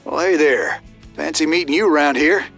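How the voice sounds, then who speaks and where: An actor voicing a rugged, laconic space cowboy in a video game, husky, with an easy swagger. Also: male voice, fairly high pitch, moderate pace, fairly bright tone, faint music behind. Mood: wry, friendly. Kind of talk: video game character voice lines.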